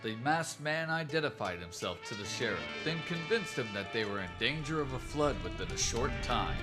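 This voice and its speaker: Male narrator for vintage-style radio western, mid-range, animated read over clear background music. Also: mid-range voice, moderate pace. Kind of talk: old-time radio drama narration.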